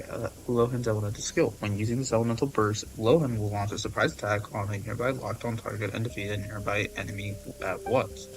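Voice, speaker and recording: A man's voice: medium pitch, darkish tone, poor audio. A man giving casual gameplay commentary and reading game text aloud, with faint noise behind him. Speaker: gaming streamer.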